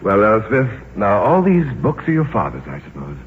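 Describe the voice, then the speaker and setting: An actor playing a courteous, curious aircraft official with a dryly ironic manner in a 1950s radio drama. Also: male voice, lowish voice, wildly swooping pitch, moderate pace. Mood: kindly, curious. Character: polite, curious, wry male aircraft official. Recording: noisy, narrow 1950s radio recording.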